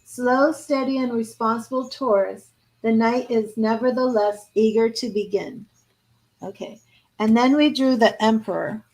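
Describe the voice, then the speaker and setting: Hoarse woman reading tarot cards. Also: fairly high pitch, fairly even intonation, measured pace, darkish tone, low-quality recording. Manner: reads card meanings aloud, then chats and restarts. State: hoarse, losing her voice. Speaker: tarot reader. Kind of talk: live tarot reading, partly read aloud.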